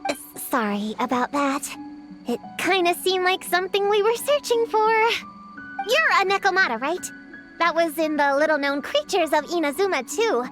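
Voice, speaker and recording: A woman's voice: very high-pitched, animated, moderate pace, over music. A bossy little witch girl. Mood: earnest. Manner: earnest, takes charge. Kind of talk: video game voice acting.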